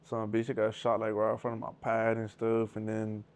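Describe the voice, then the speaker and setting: Young man, interview guest, rapid rambling delivery, heavy slang and profanity. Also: on the low side, monotone. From Southern California. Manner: rambling, profane, street slang, restarts often. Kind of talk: casual storytelling with heavy slang.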